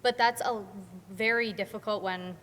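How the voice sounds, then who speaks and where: A woman's voice: mid-range voice, fairly even intonation, normal speed, a bit bright. A teenage female student actor giving casual interview answers. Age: teens. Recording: echoing hall, faint background noise.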